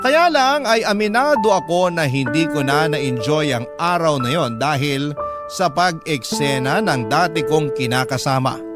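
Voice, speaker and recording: A man's voice: fairly high pitch, lively intonation, unhurried. A man hosting a radio show, narrating a listener's letter as a dramatic first-person story. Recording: prominent music under the voice. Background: background music.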